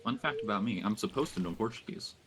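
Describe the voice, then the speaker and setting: A man playing along on a voice call, joking in a crude, deadpan way. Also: on the low side, fast, noisy background. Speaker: co-player on call. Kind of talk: casual gaming chat.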